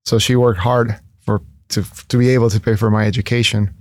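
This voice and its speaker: Earnest low-voiced man from Ecuador. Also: steady tone, no room sound, clean studio sound. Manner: earnest, halting with many restarts and fillers. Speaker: guest, entrepreneur. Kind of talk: casual interview.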